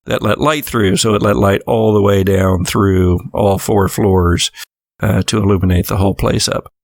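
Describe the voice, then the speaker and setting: A man narrating a tabletop horror role-playing game as its game master, describing scenes with frequent hesitant pauses. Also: fairly low pitch, steady tone, gravelly, no room sound, clean studio sound.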